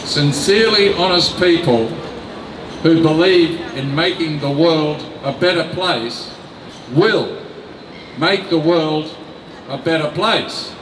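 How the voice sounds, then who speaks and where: Slow, emphatic older man outdoors. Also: on the high side, background noise. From Australia. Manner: slow, deliberate, emphatic reading from notes. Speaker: rally speaker. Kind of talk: reading a prepared speech. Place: outdoor city square. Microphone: mic on a stand. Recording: echoey outdoor sound from a distant camera.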